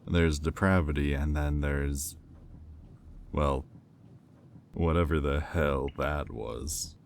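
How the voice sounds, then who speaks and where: Male actor as demon bidder, rock-bottom pitch, unhurried pace, condescending, sardonic, haughty, audio roleplay, slight background noise. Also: fairly even intonation, vocal fry, heavily acted. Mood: bored disdain.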